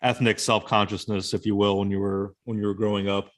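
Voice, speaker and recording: A man's voice: lowish voice, flat delivery, a little fast. A man hosting an interview, asking questions in a loose, chatty way with fillers. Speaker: interview host.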